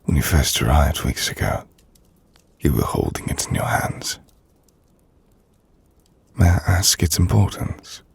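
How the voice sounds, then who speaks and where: A man playing an ancient, brooding vampire lord in an intimate ASMR roleplay, speaking formally and drily in a gravelly, breathy near-whisper. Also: very deep voice, animated, no echo, exaggerated voice. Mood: quietly attentive. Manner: formal, measured, dry. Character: ancient brooding vampire lord, dryly teasing, courteous host.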